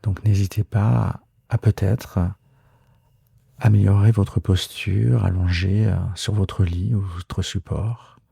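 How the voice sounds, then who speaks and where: A man guiding a relaxation meditation in a soft, husky, gravelly voice, soothing and unhurried, with hesitant pauses. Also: on the low side, fairly even intonation, no echo. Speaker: yoga nidra guide and podcast host.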